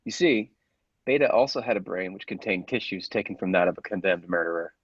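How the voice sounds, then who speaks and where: A man's voice: sits low, lively intonation, fairly quick, breathy edge, no room sound, dull audio. A man playing a persuasive, controlling scientist in an old-style radio play, with a commanding, insistent manner. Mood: eager, commanding. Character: persuasive, controlling male laboratory scientist with dangerous ambitions.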